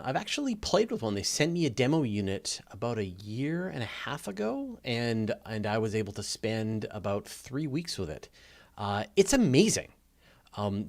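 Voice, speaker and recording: A man hosting a live question-and-answer session, talking casually and explaining with emphatic stress on key words. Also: medium pitch. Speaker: host of a live space Q&A.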